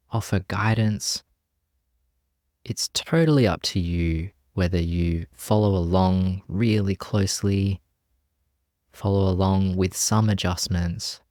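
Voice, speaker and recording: A man leading a guided meditation as a teacher, speaking gently and leaving long pauses between phrases. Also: deep voice, no echo, studio quality.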